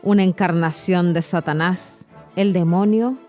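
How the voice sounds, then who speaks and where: A woman's voice: lowish voice, unhurried, dark tone. A woman with a Chilean accent hosting a language podcast, narrating scripted educational stories and addressing the listener directly. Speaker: podcast host and Spanish teacher. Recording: music behind the voice.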